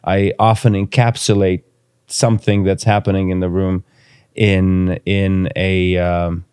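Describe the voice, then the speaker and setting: A man from Romania, a native Romanian speaker, speaking reflectively as an interview guest and pausing thoughtfully to choose his words. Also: deep voice, little pitch movement, darkish tone, clean tone, no room sound, studio quality. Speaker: podcast guest, filmmaker.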